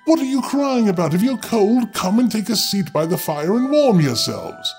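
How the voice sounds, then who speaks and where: A man's voice: sits high, very animated, moderate pace, darkish tone, soft background music, pitched above the performer's own voice. Man playing a wistful, dauntless fairy-tale youth. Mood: cheeky, bold. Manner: archaic, earnest phrasing. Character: fearless, simple young man, wistful and dauntless.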